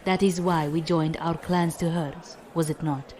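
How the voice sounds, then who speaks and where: A woman plays a stern, dignified admiral in a space audio drama. Her speech is formal and measured, every phrase fully spelled out with commanding poise. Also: deep voice, steady tone, darkish tone, a little hiss or hum. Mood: calmly firm. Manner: formal, measured, avoids contractions. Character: stern, dignified, loyal female fleet admiral.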